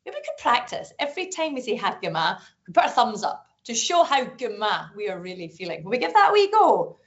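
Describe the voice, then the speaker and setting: A woman's voice: on the high side, big pitch swings, fairly quick. A Scottish woman hosting a live online lesson for young schoolchildren, upbeat and encouraging. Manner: upbeat, encouraging, sings call-and-response phrases. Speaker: festival director hosting a school session. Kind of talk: lively children's lesson presenting. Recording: lo-fi video call audio.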